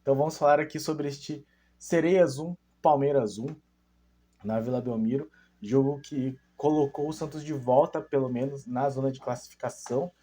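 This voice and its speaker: A man's voice: medium pitch, steady tone, normal speed, warm tone. A young man hosting his football channel, casually analysing a women's match and rambling with many fillers and self-corrections.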